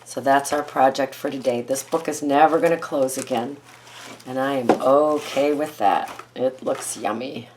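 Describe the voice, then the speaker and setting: Hoarse lady talking thru a craft project, deep froggy voice, lots of ums, bit of hiss behind. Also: moderate pace. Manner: chatty, thinks aloud with ums. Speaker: craft video host. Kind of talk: casual crafting tutorial.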